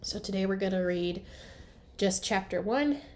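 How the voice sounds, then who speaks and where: A woman's voice: mid-range voice, moderate pace, darkish tone. A woman, a primary school teacher, reading a storybook aloud in simple phrasing for young children. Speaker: first grade teacher.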